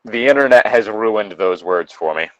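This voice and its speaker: A man's voice: on the low side, expressive, moderate pace, a bit bright, no echo, low-quality recording. A man co-hosting in casual banter, reading a story aloud and mocking it with wry, crude jokes. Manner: wry, irreverent, crude joking. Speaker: host of a comedic fan-fiction reading.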